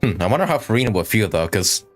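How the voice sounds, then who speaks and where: Very low-pitched woman streaming casually. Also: lively intonation. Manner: informal, halting, chuckling. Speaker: game streamer. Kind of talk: casual live gameplay commentary, also reading dialogue choices aloud. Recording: faint game music behind the voice.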